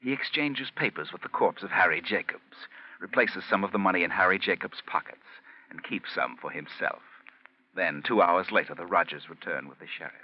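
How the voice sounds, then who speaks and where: An actor playing a grave, suspenseful storyteller, narrating a crime tale in dramatic, deliberate 1940s radio style. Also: male voice, sits low. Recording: muffled 1940s radio recording with music.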